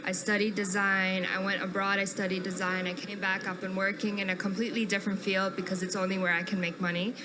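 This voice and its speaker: A woman's voice: medium pitch, level tone, fast talker, few pauses, background noise. A woman in the audience at a live panel, earnestly sharing an observation from her fashion work, rambling a little and laughing between points. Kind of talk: audience comment at a live event.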